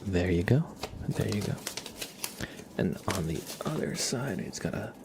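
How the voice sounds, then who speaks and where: A man livestreaming ASMR, soft-spoken and playful, slipping between a murmur and a whisper as he chats and roleplays. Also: lowish voice, expressive, a little slow, noisy background. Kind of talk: ASMR live chat with roleplay, partly whispered. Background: scissor sounds.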